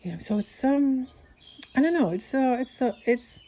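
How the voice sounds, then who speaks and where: Rambling woman, mellow voice. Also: high voice, expressive, moderate pace. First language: Dutch. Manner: rambling, thinking aloud with many restarts. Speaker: podcast host. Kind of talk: casual voice-note monologue.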